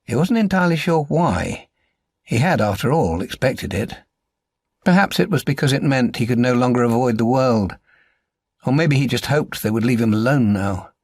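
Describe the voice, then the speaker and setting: A man reading a crime novel as an audiobook narrator, in a measured and articulate way. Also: mid-range voice, lively intonation, a little mellow, no room sound, clean studio sound.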